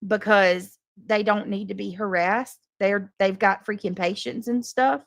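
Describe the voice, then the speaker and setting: A woman's voice: medium pitch, fairly even intonation, unhurried, vocal fry, no echo, studio quality. A woman livestreamer talking off the cuff to her followers in a casual, defensive, emphatic and exasperated way. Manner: coarse, swearing. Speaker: livestream host addressing subscribers and moderators. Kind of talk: casual unscripted livestream monologue.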